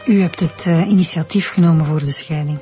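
An actress with a Flemish accent playing a polite, persistent police inspector with a hesitant, probing manner in a radio drama. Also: female voice, deep voice, a little fast, mellow, faint music behind, natural voice.

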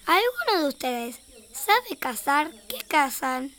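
High-voiced, curious little girl. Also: very animated, a bit bright, heavily acted. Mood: playful. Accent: Argentine. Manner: wide-eyed, inquisitive, unhurried. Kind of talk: children's radio theater.

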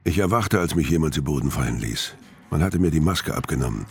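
An actor playing a world-weary career criminal, narrating a noir audio drama in a terse, deadpan way. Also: male voice, very deep voice, a little fast. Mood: tense, grim. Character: hard-boiled, world-weary male career criminal narrating his story. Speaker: first-person narrator. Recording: music bed under voice.

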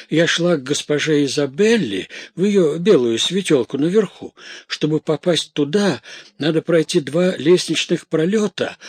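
A man's voice: fairly high pitch, husky, no echo, clean studio sound, pitched above the performer's own voice. A man voicing a hysterical, garrulous young maidservant in a story, frightened and indignant, with breathless, exclamatory, rambling delivery.

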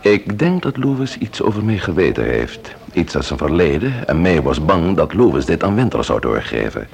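Deep, creaky, probing detective. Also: male voice, sing-song, moderate pace, darkish tone, a little hiss or hum, exaggerated voice. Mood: explaining. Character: shrewd male police investigator, measured, probing, courteous. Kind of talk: Dutch radio play dialogue.

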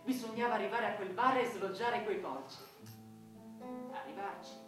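An actor playing the wistful, wry ghost of a fallen soldier, resigned, in a theatrical radio drama style. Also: male voice, high voice, normal speed, natural voice. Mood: resigned, reminiscing. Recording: very echoey distant room, music behind.

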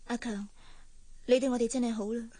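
Actress as a soft, melancholy young woman. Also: a little high, steady tone, a little fast, long reverb tail, close to an everyday voice. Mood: resigned. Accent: Hong Kong Cantonese. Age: young adult. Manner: soft, hesitant. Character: gentle, melancholy young working woman, quietly resigned. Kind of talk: Cantonese radio drama acting.

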